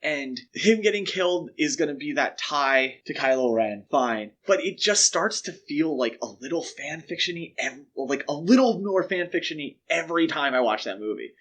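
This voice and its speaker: A man's voice: a little high, lively intonation, moderate pace, few pauses, fairly bright tone. A man hosting a podcast, discussing films casually in a conversational, opinionated way. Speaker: podcast host.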